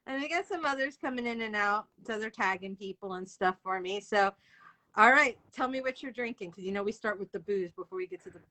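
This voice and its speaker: A woman's voice: medium pitch, a little fast, pushed and bright, low-quality recording. A woman hosting an author interview livestream, chatting casually and informally with viewers as she goes.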